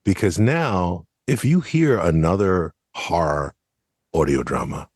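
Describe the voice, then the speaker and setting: A man co-hosting a podcast, giving thoughtful, measured opinions in a conversational style. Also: low-pitched, big pitch swings, darkish tone, husky, dry recording, clean studio sound. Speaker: podcast co-host.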